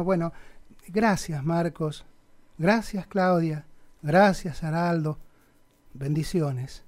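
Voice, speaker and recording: An Argentine man playing a sanctimonious, pompous conservative politician in a satirical radio play, with an unctuous, preachy manner. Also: high-pitched. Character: sanctimonious male conservative politician, pious and crudely provocative.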